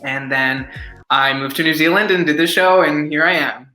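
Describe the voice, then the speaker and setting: A man's voice: fairly high pitch, normal speed, sharp tone, soft background music. A young adult man chatting eagerly as a guest actor in a casual interview, with lots of "like" in his speech. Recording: echoey room.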